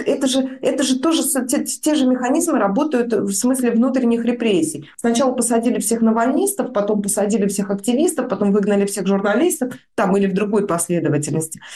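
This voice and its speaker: A woman's voice: a little high, warm tone. A woman journalist giving blunt, colloquial spoken commentary.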